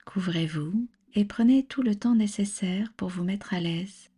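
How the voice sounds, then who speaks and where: A woman guiding a relaxation meditation as a yoga nidra instructor, with a soft, soothing, breathy delivery and measured, gentle instructions. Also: medium pitch.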